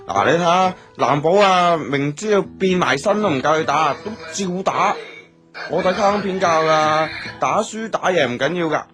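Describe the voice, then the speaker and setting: A man's voice: a little high, animated, normal speed, lightly acted. A man playing a naive, childlike younger boy in an audio drama, whiny and earnest in manner. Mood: earnestly questioning. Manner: whiny, simple-minded, earnest. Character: naive, childlike younger boy who adores his big brother. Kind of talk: Cantonese audio drama acting. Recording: guitar music behind.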